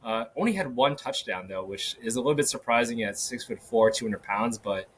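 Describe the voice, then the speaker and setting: A man hosting a solo sports podcast, talking casually with many fillers and restarts, his voice a little hoarse. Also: medium pitch, expressive, fairly quick, fairly bright tone, a little hiss or hum. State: slightly hoarse. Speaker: podcast host. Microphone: podcast microphone.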